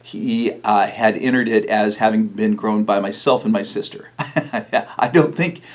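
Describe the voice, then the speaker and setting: A middle-aged male pastor from New Mexico giving a recorded video sermon, telling a story in a relaxed way with fillers and small chuckles. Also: fairly low pitch, unhurried. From New Mexico, USA.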